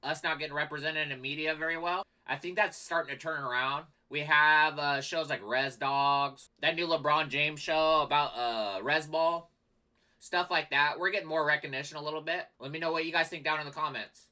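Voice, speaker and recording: A man's voice: fairly high pitch, steady tone, normal speed, sharp tone. A man hosting a reaction video, giving blunt, plain-spoken commentary. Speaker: reaction channel host.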